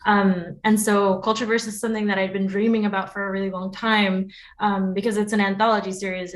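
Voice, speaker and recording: American woman explaining thoughtfully. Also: mid-range voice. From New York City, United States. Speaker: interview guest, podcast producer. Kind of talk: conversational interview answers.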